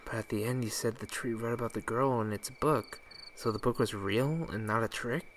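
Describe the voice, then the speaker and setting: A woman's voice: rumbling low, normal speed, a little hiss or hum. A woman playing a thoughtful, questioning young listener in an audio play, speaking earnestly and inquisitively. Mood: curious, probing.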